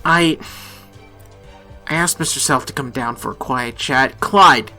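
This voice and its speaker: An actor playing a harried, defiant small-town police chief in a radio drama, blunt and sarcastic. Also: male voice, mid-range voice, measured pace, sharp tone, soft background music. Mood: harried, brisk.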